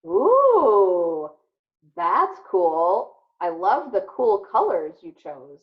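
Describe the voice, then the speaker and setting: Woman, elementary teacher on a dull-sounding video call; medium pitch, informal and encouraging with kids. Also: very animated, drawn-out words. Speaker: elementary teacher hosting an online read-aloud. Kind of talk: casual, encouraging talk to children over video call. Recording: muffled, band-limited video call audio.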